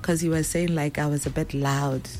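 A woman with a Setswana accent and a naturally loud voice, a pastor's wife telling her story in a casual interview and mixing Setswana words into her English. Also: sits low, steady tone, normal speed, a little hiss or hum.

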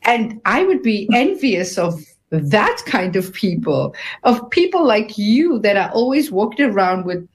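A woman in her fifties answers interview questions about her book. She speaks earnestly and thoughtfully, backing up and restarting phrases as she works out what to say. Her voice sits at an ordinary middle pitch. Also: sing-song, measured pace. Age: 50s. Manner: earnest, searching, with many restarts; describes herself as having a loud voice. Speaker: author and guest.

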